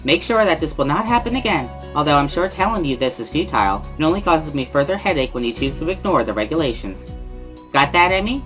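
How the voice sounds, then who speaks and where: Playful, girlish high-school student performed by a man, raised pitch, music behind. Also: big pitch swings, fast talker, a bit bright. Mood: playful scolding. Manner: girlishly playful, delivering formal scolding. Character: playful girlish high-school girl, translating for her stern friend.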